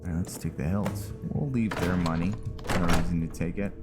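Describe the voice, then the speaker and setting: A man's voice: low-pitched, a little fast. A man giving casual commentary on his roleplay playthrough, rambling, hesitant and often trailing off. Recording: game music behind the voice. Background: video game music.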